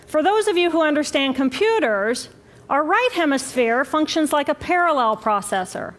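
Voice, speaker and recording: Woman lecturer, high animated voice, measured explaining tone. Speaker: speaker. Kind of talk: public lecture.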